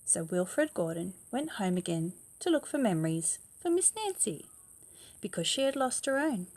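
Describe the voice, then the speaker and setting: A woman reads a children's picture book as a bedtime storyteller. Her voice sits at a medium pitch. She leans on key words expressively and speaks to a young listener, sometimes with a question. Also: big pitch swings, normal speed, a little mellow.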